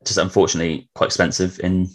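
A man's voice: low-pitched, flat delivery, fast talker. A man who is a native English speaker, hosting a casual travel interview in a chatty way with frequent tag questions. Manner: chatty, often tacks tag questions onto remarks. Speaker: podcast host.

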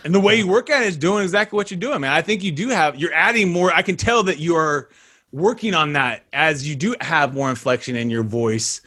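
A man hosting a casual podcast interview over a video call, energetic and slangy, punching words with sudden loud bursts. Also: a little high, a little fast, breathy edge. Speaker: podcast host.

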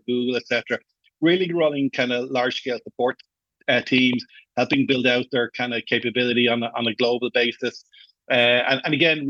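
A man's voice: medium pitch, a bit bright, dry recording, highs cut off. A middle-aged Irish man giving conversational answers as a guest in an interview, explaining his work at length. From Ireland. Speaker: podcast guest, customer support executive.

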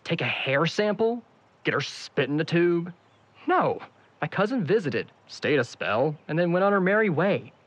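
A man's voice: a little high, very animated, moderate pace, slightly rough. A young man acting a cocky, evasive, sardonic character with a grudge in an audio drama. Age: young adult. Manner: sarcastic, flippant.

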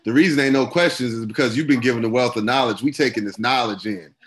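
This is a man from Maryland calling in to a talk show. His voice sits in a middle pitch. He circles around his question with plenty of hesitations and fillers. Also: fast. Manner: rambling, hesitant, full of "like" and "um". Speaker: caller. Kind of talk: call-in question.

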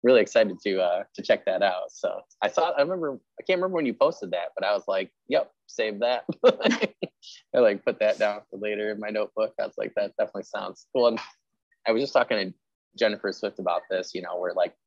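A man's voice: on the low side, fast talker, gravelly, dry recording, muffled recording. A man hosting a casual interview, chatting in an agreeable, rambling way with lots of filler words. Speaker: podcast host interviewing a writer.

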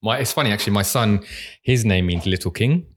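A man's voice: fairly low pitch, a little fast, breathy edge. A middle-aged man hosting a podcast, casual and playful, joking and laughing at himself. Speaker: podcast host. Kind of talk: casual interview chat.

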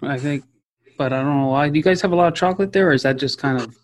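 A man's voice: on the high side, rapid-fire. A man with an American accent hosting a podcast in a playful, effusive, rambling way, joking with his guest. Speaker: podcast host. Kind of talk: casual interview chat.

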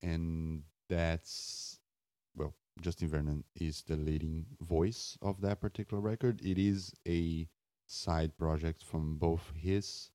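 A man hosting a solo podcast off the cuff in a raspy, just-woken voice, halting and searching for words with wry self-deprecation. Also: low voice, steady tone, mellow, no echo. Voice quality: raspy morning voice. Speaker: podcast host.

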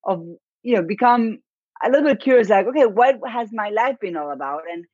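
A woman's voice: a little high, animated, brisk, a little mellow, breathy edge, dry recording. A woman in her forties with an Argentine accent, a guest on an interview show, telling her story with many fillers and small laughs. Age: 40s. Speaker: guest, business coach. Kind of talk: interview conversation. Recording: dry, dull lo-fi audio.

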